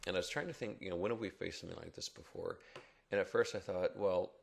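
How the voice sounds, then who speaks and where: A man with a rough early-morning voice, giving halting, reflective, unscripted commentary on stock charts in a casual way. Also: low voice, a little hiss or hum. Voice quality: rough, gravelly, husky. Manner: halting, reflective, thinking aloud. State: rough early-morning voice, not yet warmed up. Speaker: stock market blogger and chartist.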